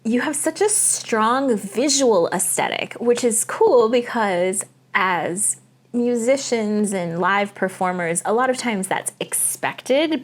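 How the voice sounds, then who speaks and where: A woman hosting a casual interview, chatty and full of compliments for her guest. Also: medium pitch. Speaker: podcast host, acting coach.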